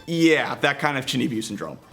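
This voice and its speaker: A man's voice: mid-range voice, sing-song, a little fast, music in the background. A man presenting a scripted video game review with casual asides.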